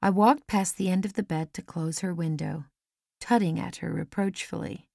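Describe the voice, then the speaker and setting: Woman, audiobook narrator, scripted storytelling, creaky edges, dry close studio sound. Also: lowish voice, moderate pace, mellow, no room sound. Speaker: audiobook reader.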